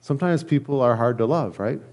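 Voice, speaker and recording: A middle-aged man, a pastor, preaching a conversational sermon in an earnest, halting way with repeated phrases. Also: mid-range voice, lively intonation, darkish tone. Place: church sanctuary, at a lectern indoors.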